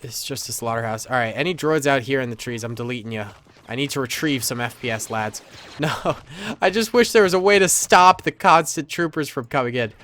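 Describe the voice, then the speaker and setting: A man's voice: mid-range voice, a little fast, fairly bright tone. A man hosting casual commentary over a battle simulator game, playful and slangy with frequent chuckles, his nose stuffed up from a cold. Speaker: gaming channel host.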